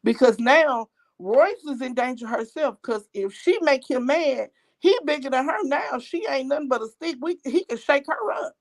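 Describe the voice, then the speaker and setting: An older woman calling in to a show, talking bluntly and excitedly in casual slang. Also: sits high, normal speed. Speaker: caller. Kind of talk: casual phone-in chat.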